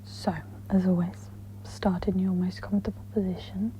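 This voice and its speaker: A woman speaks in a whispery, breathy voice, gently guiding a meditation in a soft-spoken ASMR style. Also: on the low side, level tone. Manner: slow, gentle, instructional. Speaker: host of guided meditation ASMR. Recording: dull lo-fi with audible background noise.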